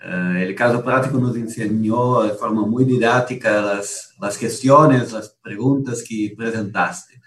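Guy moderating, talks slow and hesitant, far from the mic. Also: fairly low pitch, fairly bright tone. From southern Brazil. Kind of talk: webinar moderation. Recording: distant, roomy mic sound.

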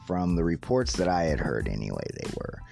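A man hosting a casual solo podcast about news stories, with a slightly raspy voice and a halting, amused way of talking. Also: deep voice, very animated, over music. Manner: halting, pausing mid-sentence; amused, chuckling as he talks. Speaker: podcast host.

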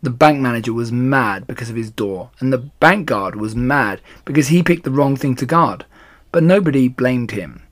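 A man reading a children's storybook aloud in an expressive style. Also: medium pitch, normal speed. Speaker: storybook reader.

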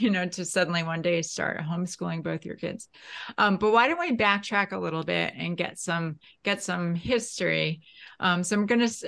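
Bright-voiced woman hosting an interview. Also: on the low side, gravelly. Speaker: podcast host. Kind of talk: conversational interviewing.

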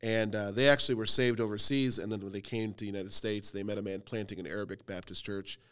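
A man's voice: lowish voice, steady tone, fast talker, fairly bright tone, clean tone. A man in his thirties from Cleveland, a youth pastor talking casually on a podcast with lots of fillers and self-deprecating humor. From Cleveland, Ohio.

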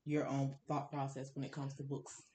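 A woman's voice: a bass voice, monotone, moderate pace, warm tone. A woman co-hosting a podcast, casually discussing books in a candid, opinionated way with blunt, colloquial phrasing. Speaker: podcast co-host.

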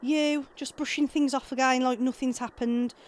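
A woman acting an irritable, sharp-tongued, sarcastic middle-aged mother and ex-wife in an audio drama. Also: high-pitched, steady tone, normal speed, natural voice. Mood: exasperated sarcasm.

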